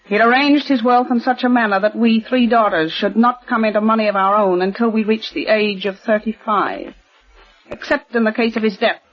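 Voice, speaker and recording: A woman's voice: on the high side, steady tone, normal speed, lightly acted. An actress in 1940s radio style playing a formal, insistent wealthy woman of forty. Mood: tense. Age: 40s. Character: tense, proper wealthy eldest daughter. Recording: old lo-fi radio recording.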